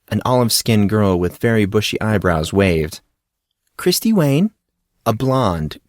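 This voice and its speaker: Low-voiced man reading an audiobook. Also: no room sound, clean studio sound. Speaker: audiobook narrator.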